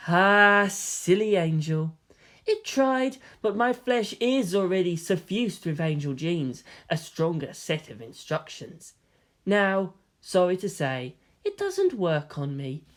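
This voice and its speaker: A performer voicing a smug, soft-spoken inhuman young male schemer in a story reading, silky, condescending and given to lecturing. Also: mid-range voice, big pitch swings, slow pace. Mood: mocking amusement. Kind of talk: dramatic fan fiction reading.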